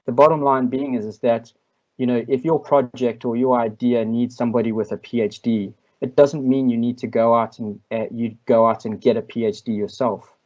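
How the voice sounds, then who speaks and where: A South African man speaks in a steady, level tone, giving his answers to an online interview in a measured, reflective way. He often pauses on ums and restarts. The audio is a little muffled, as over a video call. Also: mid-range voice. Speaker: invited speaker. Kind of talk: online masterclass Q&A.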